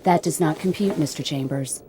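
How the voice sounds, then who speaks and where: A woman's voice: low-pitched, moderate pace, warm tone, soft background music, natural voice. A woman playing a polite, coldly procedural spaceship computer in a science fiction radio drama, speaking in stilted, impersonal announcements.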